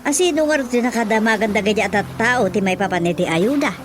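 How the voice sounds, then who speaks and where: A woman playing a gossipy, excitable villager in a radio comedy drama, exclamatory, with a Filipino accent. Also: on the high side, a little fast, slight background noise, close to an everyday voice. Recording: music bed under the voice.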